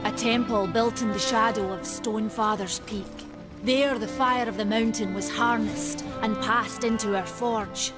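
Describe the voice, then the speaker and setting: A woman's voice: a little high, steady tone, unhurried, lightly acted. An actress playing a proud, stubborn female forge keeper who talks in old-fashioned, plainspoken terms, with game music behind her. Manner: archaic, plainspoken, bargaining.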